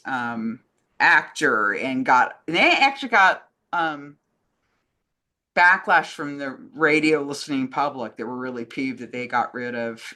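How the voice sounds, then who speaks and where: A woman's voice: rumbling low, bright, forceful tone. A woman in casual conversation, talking in an enthusiastic, rambling way as a co-host. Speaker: co-host answering fan questions. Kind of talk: casual chat.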